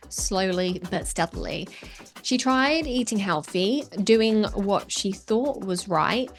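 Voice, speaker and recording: Woman podcast host, mid pitch, encouraging questions, faint music underneath. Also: a bit bright. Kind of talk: scripted narration then interviewing.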